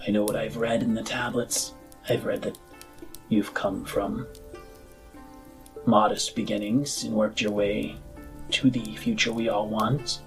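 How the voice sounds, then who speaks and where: A man playing a courteous, earnest politician campaigning for power in a roleplayed audio drama, speaking formally and deliberately. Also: lowish voice, little pitch movement. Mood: earnest, flattering. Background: music.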